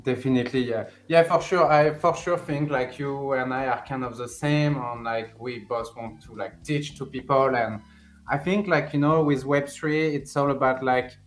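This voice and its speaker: A man's voice: medium pitch, normal speed, roomy sound, faint music behind. A young man from France with a strong French accent, a guest on a podcast chatting in a friendly, talkative way, full of "like" and "you know". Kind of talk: casual podcast interview.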